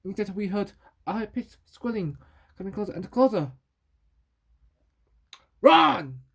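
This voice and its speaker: A woman reading a children's story aloud in a halting way, repeating and correcting words as she goes. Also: mid-range voice, very animated, gravelly, breathy edge.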